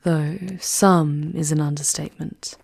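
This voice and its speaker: Female actor as world-weary demon queen guide, ASMR roleplay. Dark, velvety low tone, unhurried formal delivery, breathy near-whispers. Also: flat delivery, no room sound, exaggerated voice. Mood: calm, guarded. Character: regal, world-weary demon queen, once human, guiding a wanderer. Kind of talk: slow, intimate ASMR roleplay monologue.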